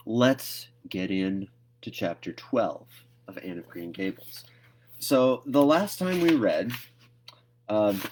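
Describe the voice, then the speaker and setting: A man's voice: on the low side, a little mellow. A man in his thirties hosting a read-aloud, chatting casually and narrating a storybook. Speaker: host of a read-aloud series.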